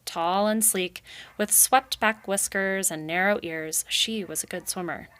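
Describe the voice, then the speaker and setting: A woman's voice: mid-range voice, a little slow, fairly bright tone, clean tone. A woman narrating a children's story as she reads it aloud. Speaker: reader.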